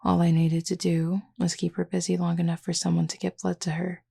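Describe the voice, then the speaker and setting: Woman voicing a gravelly, laid-back flirty werewolf woman. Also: completely flat delivery, warm tone, exaggerated voice. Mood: calm, reflective. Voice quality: low, husky, gravelly. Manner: casual, unhurried, teasing, nonchalant. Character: flirty laid-back female werewolf, tattooed, scarred, protective. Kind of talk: intimate audio roleplay, addressing the listener.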